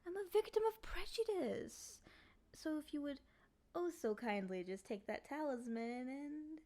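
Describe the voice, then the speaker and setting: A woman voicing a lonely, clingy female demon in a soft, often whispery voice, coaxing and pleading in an intimate ASMR roleplay. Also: high-pitched, expressive, unhurried.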